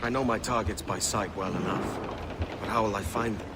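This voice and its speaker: An actor playing a remorseful, plain-spoken pirate captain in a video game cutscene. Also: male voice, lowish voice, fairly quick, very noisy, lightly acted. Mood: resolute. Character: weary, remorseful pirate captain, adult man.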